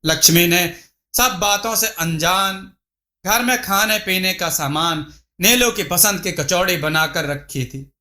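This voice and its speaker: A man narrating a scripted moral story as a storyteller, in a measured, dramatic manner. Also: high-pitched, sharp tone.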